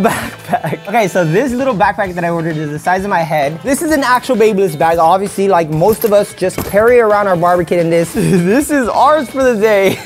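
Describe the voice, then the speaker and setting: A young barber in his twenties talks through a product review with animated, slangy exclamations. His pitch swings widely as he reacts, and soft background music runs faintly under his voice. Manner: animated, exclaiming, slangy ("bro", "what the heck"). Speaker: barber and video host. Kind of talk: excited product unboxing and review. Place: indoor studio set at a table.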